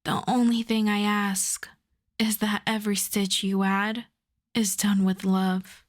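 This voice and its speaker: Woman playing a slow-talking, flirtatious female villain. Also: mid-range voice, level tone, natural voice. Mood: earnest, flirtatious. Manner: unhurried, smooth-talking, teasing, self-assured. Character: suave flirtatious female supervillain courting her costume maker. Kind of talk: roleplay audio drama monologue.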